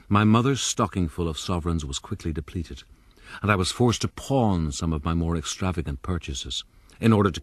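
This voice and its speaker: An urbane rogue looking back on his youth in a radio play. His voice is low and recorded dry and close, with formal, wry storytelling. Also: breathy edge, no echo. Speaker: narrator. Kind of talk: scripted first-person radio drama narration.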